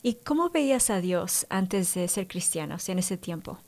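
A woman's voice: on the low side, sing-song, normal speed, a little mellow. Woman, radio host, interview, encouraging, affirming interjections. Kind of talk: faith testimony interview.

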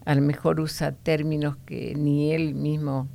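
Low, gravelly woman teaching the Bible. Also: flat delivery, dark tone. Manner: explanatory, often ending points with a questioning "no?"; unhurried, with frequent hesitation fillers.

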